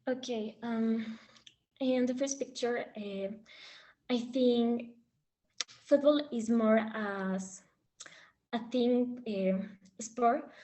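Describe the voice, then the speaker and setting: A woman's voice: a little high, extremely slow, pauses often, warm tone, rough recording. A woman from Ecuador, answering practice exam questions hesitantly with frequent fillers. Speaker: exam candidate.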